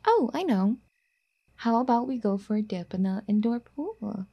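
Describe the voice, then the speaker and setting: A woman playing a doting, clingy, obsessive girlfriend in an ASMR roleplay, speaking in a soft, breathy, coaxing half-whisper. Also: on the high side, lively intonation, moderate pace, muffled recording. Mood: eager to please. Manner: cooing, coaxing pet-name sweet talk.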